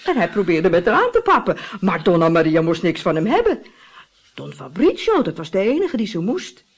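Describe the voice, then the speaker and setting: A woman's voice: lowish voice, huge pitch swings, a little fast, slightly rough, exaggerated voice. A woman playing a hearty, gossipy Italian innkeeper who relishes telling scandal in a Dutch radio play. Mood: relishing, dramatic. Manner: theatrical, relishing storytelling with exclamations. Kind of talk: Dutch radio drama acting.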